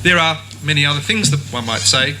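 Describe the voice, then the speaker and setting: A man gives a formal conference presentation on legislation, steady and argued. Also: a little high, a little fast, over loud music. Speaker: conference speaker. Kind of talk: academic lecture. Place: university lecture hall. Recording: noisy conference room recording.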